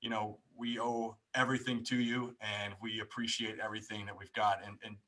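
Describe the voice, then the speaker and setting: A man's voice: fairly low pitch, level tone, brisk, bright, forceful tone, low-quality recording. A man hosting a casual live stream, talking informally and often repeating his phrases. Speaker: live show host.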